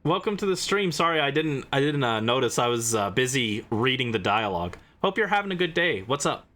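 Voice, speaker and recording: Man livestreaming with lively pitch. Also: a little fast. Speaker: livestream host. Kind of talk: casual game stream chat, reading dialogue aloud.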